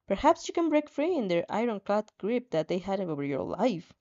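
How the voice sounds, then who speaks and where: A woman reading a story aloud in an expressive, dramatic way, with playful casual asides and the odd stumble over a line. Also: mid-range voice. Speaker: fan fiction reader reacting as she reads. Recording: dull, slightly muffled lo-fi audio.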